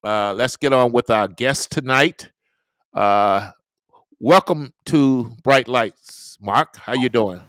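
A man hosting a casual podcast interview, speaking haltingly with many fillers. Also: mid-range voice, fairly bright tone, no echo. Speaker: podcast host.

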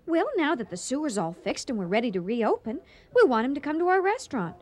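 Feisty, lively, higher-voiced woman schemer. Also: fast. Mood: coy, conspiratorial. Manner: playful, theatrical. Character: feisty, coy woman restaurateur plotting petty revenge. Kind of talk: radio comedy drama acting.

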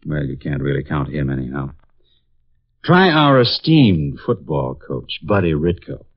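An actor playing a brooding, cynical young psychology professor in a 1950s radio drama, speaking in a somber way. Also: male voice, extremely low pitch, big pitch swings, measured pace, dry recording, full character voice. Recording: dull lo-fi 1950s radio broadcast.

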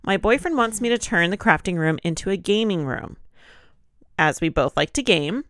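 A woman's voice: mid-range voice, expressive, normal speed, a bit bright, dry recording, clean studio sound. A woman co-hosting a podcast, chatting casually and reading posts aloud, slightly nasal and congested from allergies. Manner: informal, chatty. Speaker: podcast co-host.

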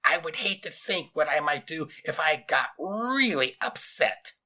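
A man's voice: on the high side, lively intonation, pushed and bright, voice pushed up, heavily acted. A man voicing a menacing shapeshifting female teacher creature in a demanding, scratchy, strangled voice, in an audiobook story. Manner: demanding, slow, deliberate.

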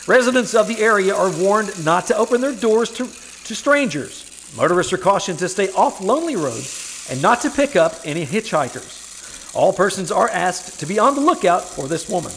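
An actor playing a polished old-time radio announcer with an emphatic, formal delivery. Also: male voice, sits high, big pitch swings, normal speed, fairly bright tone, a little hiss or hum, exaggerated voice. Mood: grave urgency. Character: polished radio pitchman and news bulletin announcer.

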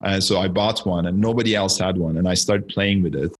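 A man from Turkey, around his forties, talks through his career as a podcast guest. His voice sits very low and deep. He thinks aloud and circles back on his own phrases. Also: brisk, husky. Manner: reflective, storytelling, with frequent self-corrections. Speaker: guest, startup founder. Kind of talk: conversational interview.

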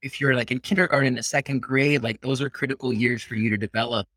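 A man's voice: medium pitch, steady tone, fast talker, sharp tone, no echo. Peruvian-born guy in his 30s, earnest and reflective interview guest, dry close sound. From Peru. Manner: reflective and earnest, slips into Spanish. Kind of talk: casual interview conversation.

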